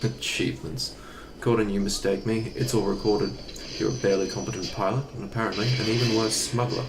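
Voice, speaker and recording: A man acting a haughty, menacing Imperial officer in an audio drama, condescending and measured, with noisy ambience around him. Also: fairly low pitch, steady tone, echoey room, lightly acted. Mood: scornful.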